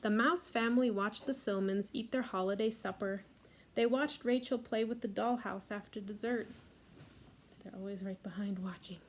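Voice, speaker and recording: A woman reading a picture book aloud for a library storytime, adding gentle asides about the pictures. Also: mid-range voice, moderate pace, a little hiss or hum.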